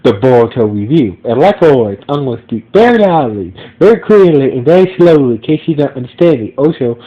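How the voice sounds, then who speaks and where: A man with a stuffy nose recapping an anime episode on his podcast, halting often with fillers and restarts. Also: mid-range voice, very animated, dull audio.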